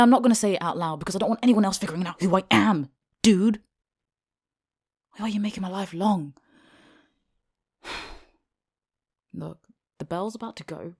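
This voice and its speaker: A low-pitched British teenage schoolgirl, played by a woman, secretly a magical girl and thoroughly reluctant about it. She talks in a casual, halting way with slangy, sardonic exasperation. It has the loose feel of an ad-libbed audio roleplay. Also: a little mellow.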